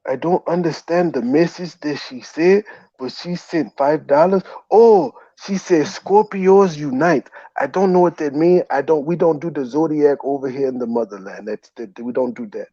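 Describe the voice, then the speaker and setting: A man's voice: fairly high pitch, normal speed, warm tone. A man hosting a livestream, bantering in a mock-exasperated, teasing way. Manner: mock-exasperated, teasing, repeatedly addressing "ma'am". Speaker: livestream host and commentator. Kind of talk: bantering livestream commentary in a put-on comic accent. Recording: dull lo-fi roomy stream audio.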